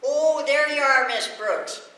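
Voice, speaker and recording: A man's voice: very high voice, slow pace, sharp tone, exaggerated voice. A man playing an earnest, plaintive adolescent schoolboy in old-time radio comedy style. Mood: fretful. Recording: echoey hall with faint noise.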